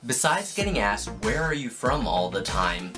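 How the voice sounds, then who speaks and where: A woman from Hong Kong, giving a measured personal statement. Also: a bass voice, very animated. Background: music.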